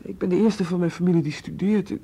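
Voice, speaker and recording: A young man, a student, stumbling through his confession in a radio play. His voice sits high and runs husky, mellow rather than bright, with stammers and tears breaking through. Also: steady tone, quick pace. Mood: anxious. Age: young adult. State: tearful. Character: young male student, remorseful and shaken. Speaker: student questioned by police.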